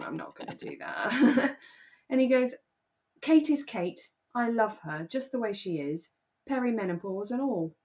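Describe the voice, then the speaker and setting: A woman co-hosting a podcast, giving her opinions emphatically in relaxed, casual chat. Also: medium pitch. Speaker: podcast co-host. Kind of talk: casual chat reviewing a radio drama.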